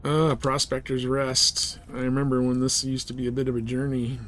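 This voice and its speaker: A man's voice: mid-range voice, little pitch movement, moderate pace, a bit bright. A man giving casual live commentary on a game, chatting and thinking aloud. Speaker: game streamer.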